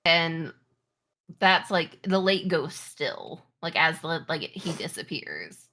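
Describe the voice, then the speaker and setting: A woman running a tabletop roleplaying game, narrating in a halting, improvised way. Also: low-pitched, lively intonation, sharp tone. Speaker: game master.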